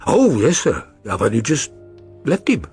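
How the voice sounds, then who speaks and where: A man's voice: medium pitch, wildly swooping pitch, measured pace, darkish tone, breathy edge, soft background music, full character voice. A man voicing an earnest, plodding middle-aged tradesman in an audiobook, telling his story in a deferential, rambling way. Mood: obliging, matter-of-fact. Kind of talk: audiobook character reading.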